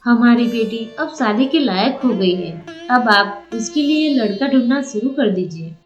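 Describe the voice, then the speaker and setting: A woman's voice: on the high side, expressive, unhurried, reverberant, muffled recording, faint music behind. A woman playing a caring village mother of a grown daughter in a cartoon story. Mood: concerned. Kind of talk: Hindi cartoon story dialogue.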